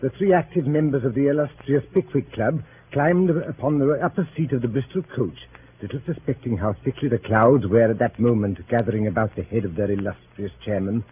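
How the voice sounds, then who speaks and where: A man's voice: mid-range voice, fairly quick, lightly acted. A man narrating a 1930s radio drama in a formal, storybook manner. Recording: tinny, noisy 1930s radio recording.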